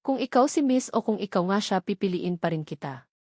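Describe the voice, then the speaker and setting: Picture a confident, charming boss speaking low and close, measured and earnest, read out by a woman narrator. The voice sits at a middle pitch with no special coloring. It is captured very dry, with no room sound at all. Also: lively intonation, a little mellow, no echo, natural voice. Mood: intimate.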